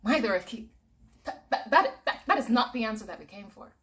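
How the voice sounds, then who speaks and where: A woman's voice: mid-range voice, big pitch swings, unhurried, a bit bright, distant mic. Woman doing a stammering, vain young brother. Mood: protesting. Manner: hesitant, stammering.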